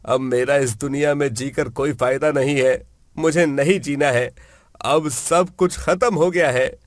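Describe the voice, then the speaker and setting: A man's voice: medium pitch, fairly even intonation, unhurried, dry recording, studio quality, lightly acted. A man voicing an old village farmer father, earnest, plaintive and heartbroken, in a storybook tale. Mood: despairing.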